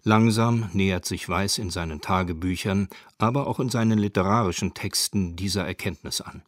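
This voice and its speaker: A man's voice: sits low, normal speed, no room sound. A man reading scripted narration for a radio documentary.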